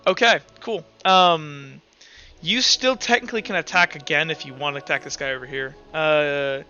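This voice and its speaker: A man with a high voice runs a tabletop roleplay game online in a loose, informal way. He thinks through rulings as he speaks, in a bright, forward tone. The sound is slightly dull, like voice chat, with music softly behind. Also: moderate pace. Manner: informal, talks through rulings aloud, acts out sound effects. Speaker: game master. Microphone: online voice chat microphone.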